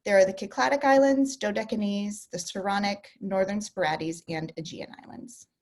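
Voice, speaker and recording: A woman's voice: mid-range voice, fairly even intonation, moderate pace, darkish tone. Chatty woman presenting over a video call. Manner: chatty, lots of ums and restarts, laughs easily. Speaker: travel company co-founder presenting. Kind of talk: casual virtual presentation.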